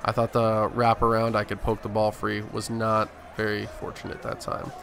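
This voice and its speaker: A man's voice: lowish voice, completely flat delivery, normal speed, a bit bright. A man hosting a gaming video, giving casual, conversational play-by-play of a basketball game. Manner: conversational play-by-play in present tense. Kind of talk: casual gameplay commentary. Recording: clear game noise behind voice. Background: basketball game sounds.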